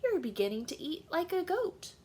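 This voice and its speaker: A woman's voice: very high voice, sing-song, normal speed, darkish tone, a little hiss or hum, voice pushed up. A woman voicing a grumbling, opinionated father goat from a storybook in an emphatic, declaring manner.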